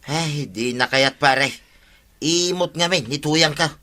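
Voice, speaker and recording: A forceful, piercingly bright and fairly high-voiced village husband with an Ilocano accent. He is sly and henpecked, full of exclamations, in broad radio comedy style. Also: slow pace. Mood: puzzled, eager. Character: scheming, henpecked village husband who raises pigs. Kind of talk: comedy radio drama acting.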